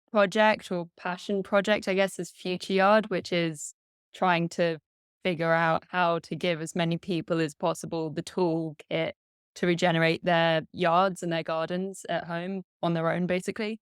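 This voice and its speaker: A woman hosting a casual video chat, bubbly and quick to laugh. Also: fairly low pitch, smooth, no room sound, studio quality. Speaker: host.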